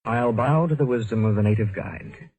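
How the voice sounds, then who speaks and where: An American man playing a cool, flirtatious hardboiled investigator with wry, deadpan delivery in a 1950s radio drama. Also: mid-range voice, normal speed, close to an everyday voice, rough recording. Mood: flirtatious banter. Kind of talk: 1950s radio detective drama acting, first-person narration.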